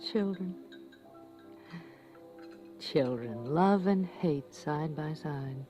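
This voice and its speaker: A woman playing an anxious, gentle mother who hesitates as she hides her unease, in an old-time radio drama. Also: low-pitched, highs cut off. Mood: brooding. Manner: hesitant, searching, trailing off.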